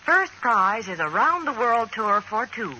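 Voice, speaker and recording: A woman as a radio announcer giving a 1940s advertising pitch. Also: very animated, a little slow, pushed and bright, poor audio. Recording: old radio broadcast, faint noise.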